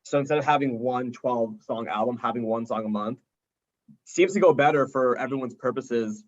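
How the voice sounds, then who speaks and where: A man's voice: medium pitch, normal speed, muffled recording. A young man in a band chatting casually in an interview, informal and enthusiastic, sprinkling his talk with "like". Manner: enthusiastic, informal, heavy use of "like". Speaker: indie rock band member being interviewed. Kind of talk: casual chat.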